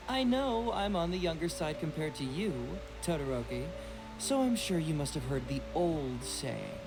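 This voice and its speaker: A woman's voice: low voice, animated, a little slow, music in the background, low-quality recording. A woman voicing a young male detective in a video game, confident, composed and teasing.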